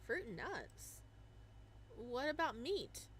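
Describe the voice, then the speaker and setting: A woman reading the lines of an eager, blunt dog-eared young man in a visual novel, with excited, lively delivery. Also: high-pitched, takes it slowly, breathy edge, full character voice, poor audio. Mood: disappointed.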